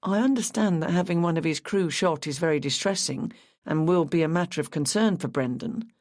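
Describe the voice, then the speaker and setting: A woman voicing a steady male police detective who asks plain, measured questions. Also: low-pitched, no room sound, studio quality, lightly acted.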